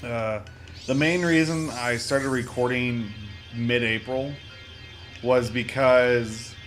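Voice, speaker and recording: A man's voice: medium pitch, steady tone, takes it slowly, a bit bright. A man hosting a let's play with casual, rambling gameplay commentary, often interrupting himself with joking asides. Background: video game music.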